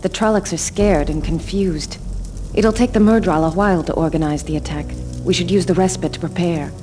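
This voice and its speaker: An actress playing a young, dutiful and stubborn sorceress leader in a fantasy game, with a solemn, firm, formal delivery. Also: female voice, on the low side, a little fast, close to an everyday voice. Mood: calm, decisive. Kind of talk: dramatic fantasy game cutscene acting. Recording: game music behind the voice.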